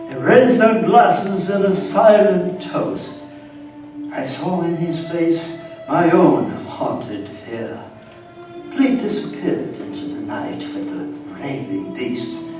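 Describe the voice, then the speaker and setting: Man acting a haunted, high-voiced horror narrator. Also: animated. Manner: grave, theatrical, deliberate storytelling. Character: haunted colonial Englishman recounting a horror tale. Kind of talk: dramatic narration in live radio theater. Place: live radio theater stage. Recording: very echoey stage, distant mic, music behind.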